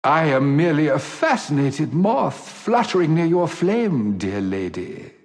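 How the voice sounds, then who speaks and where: An actor voicing a flirtatious, courtly gentleman officer in a sci-fi video game, teasing in flowery language. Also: a little high, very animated, measured pace. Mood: courtly, wistful. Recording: long hall reverb.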